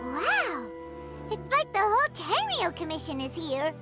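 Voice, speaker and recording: A woman voicing a tiny, excitable, childlike fairy companion in a video game, exclamatory and wide-eyed. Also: very high voice, a little slow, heavily acted. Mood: excited. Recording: game music behind the voice.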